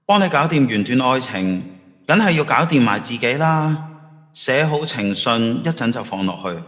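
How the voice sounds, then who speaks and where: A man's voice: mid-range voice, big pitch swings, normal speed, fairly bright tone. A man acting a blunt, grumbling teenage schoolboy and loyal best friend in a youth radio drama, with a Hong Kong accent. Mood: scheming.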